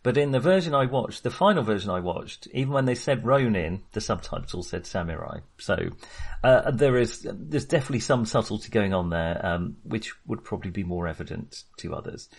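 A man's voice: on the low side, lively intonation, fairly quick, breathy edge. A man talking casually and informally about films as a podcast co-host, with a chatty, mildly sweary manner. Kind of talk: casual film discussion.

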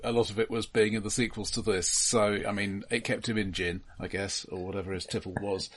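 A middle-aged British man co-hosting a casual film discussion, speaking in a dry, halting way and repeating words as he thinks. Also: fairly low pitch, fairly even intonation. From United Kingdom. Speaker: film podcast co-host.

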